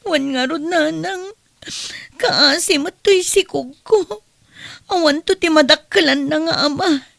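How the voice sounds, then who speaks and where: Woman playing whiny young daughter, Ilocano accent, high pitch, gravelly fry, aggrieved, audio drama. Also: unhurried, fairly bright tone, full character voice. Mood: whining, then tearful. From Philippines. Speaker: voice actor in comedy drama.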